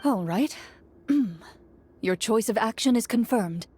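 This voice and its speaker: A woman's voice: a little high, lively intonation, slow, dark tone. A woman voicing a composed, solemn game master who narrates formally and dramatically in an anime video game, over music. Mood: solemn, narrating. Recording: music behind the voice.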